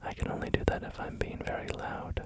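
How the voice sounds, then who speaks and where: A man whispering through a relaxed ASMR gaming video, rambling and joking about himself with many fillers. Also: brisk. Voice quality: soft unvoiced whisper. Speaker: ASMR creator.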